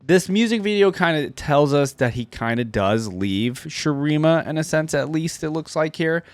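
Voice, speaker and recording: A man's voice: fairly high pitch, animated, normal speed, no room sound. A man doing casual reaction commentary as a video host, musing and thinking aloud in an informal way.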